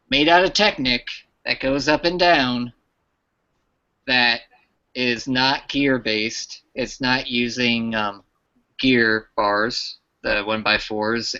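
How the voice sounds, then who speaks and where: A man's voice: mid-range voice, sharp tone. A man hosting a casual live stream chat, rambling with restarts. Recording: lo-fi online stream audio.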